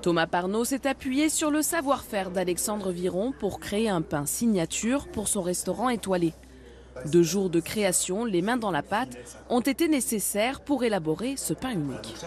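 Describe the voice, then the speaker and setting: A woman's voice: medium pitch, lively intonation, moderate pace, darkish tone. A woman, presenting a regional TV magazine as a reporter.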